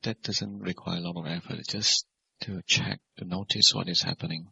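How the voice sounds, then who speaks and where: Man, meditation teacher, very bright edgy tone, creaky fry, measured instructional phrasing, slightly lo-fi sound. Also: low voice, steady tone, a little hiss or hum. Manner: measured, simple phrasing with gentle questions. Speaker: Buddhist monk and meditation teacher. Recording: dull, lo-fi recording.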